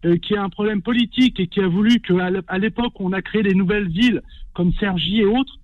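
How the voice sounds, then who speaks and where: Guy calling a radio show, high quick voice, kinda muffled dry line, keeps saying sorry but pushes his point. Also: no room sound. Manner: apologetic but firm, repeats words. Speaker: radio caller. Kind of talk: call-in opinion.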